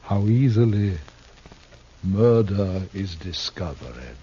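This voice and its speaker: An actor in an old-time radio western playing a desperate, self-pitying failed Shakespearean actor, speaking in ornate, archaic phrases with halting pauses. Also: male voice, low voice, sing-song, warm tone, exaggerated voice. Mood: remorseful, halting. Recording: dull, noisy old radio recording.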